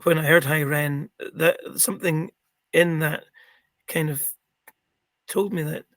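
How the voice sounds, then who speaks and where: A middle-aged man with a croaky, gravelly voice, chatting as an interview guest and rambling with many ums and restarts. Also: fairly high pitch, fairly even intonation, measured pace, fairly bright tone, no echo. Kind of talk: casual interview chat.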